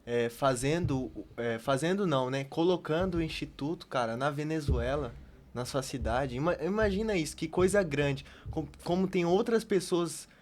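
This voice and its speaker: A man co-hosting a podcast in a casual, enthusiastic way, halting and repeating himself as he goes. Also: on the high side. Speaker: podcast co-host. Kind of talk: casual chat.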